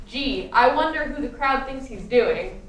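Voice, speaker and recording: High-pitched woman narrator in an echoey room. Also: lively intonation, unhurried, far from the mic. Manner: theatrical storytelling. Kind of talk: scripted narration for radio play. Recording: echoey room from afar, faint noise.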